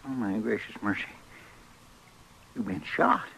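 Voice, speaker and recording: An actor playing a fussy, good-hearted frontier deputy in an old radio western, with a grumbling rustic American drawl. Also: male voice, lowish voice, measured pace, creaky, bright, forceful tone, exaggerated voice. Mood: shocked. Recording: noisy, crackly old radio recording.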